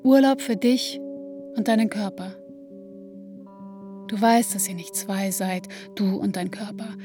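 An actress playing an introspective woman in her thirties, narrating a literary radio play in a measured, reflective way. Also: mid-range voice, natural voice. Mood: sad, subdued. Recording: music under the voice. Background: musical instruments.

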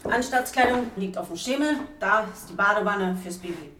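An actress playing a gruff, by-the-book woman prison warder in a radio drama, curt and bossy. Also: mid-range voice, normal speed, a bit bright, natural voice. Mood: matter-of-fact, grumbling. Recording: echoey prison room, distant, noisy.

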